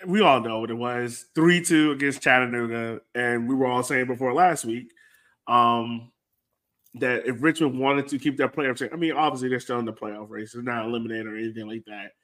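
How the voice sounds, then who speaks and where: A man's voice: medium pitch, fairly even intonation, a little fast, fairly bright tone. A man co-hosting a sports fan podcast, talking casually and informally with a resigned manner. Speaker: co-host of a soccer fan podcast.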